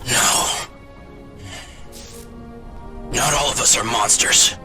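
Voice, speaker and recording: An actor playing a brooding, defiant vigilante hero in a video game, speaking tersely and stubbornly. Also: male voice, mid-range voice, moderate pace, sharp tone, faint music behind. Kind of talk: dramatic game acting.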